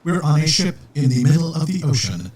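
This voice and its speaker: An actor playing a formal, deadpan, world-weary robot assassin in a scripted audio comedy. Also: male voice, fairly high pitch, brisk. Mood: coolly matter-of-fact. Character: dry, world-weary male robot assassin posing as repair bot. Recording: roomy echo, distant from mic.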